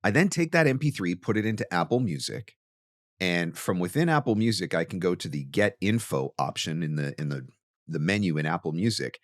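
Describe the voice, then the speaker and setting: Man, deep-pitched, podcast host, emphatic conversational coaching, direct address, very dry recording. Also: fairly bright tone, no room sound. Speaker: voice actor. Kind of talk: marketing advice podcast monologue.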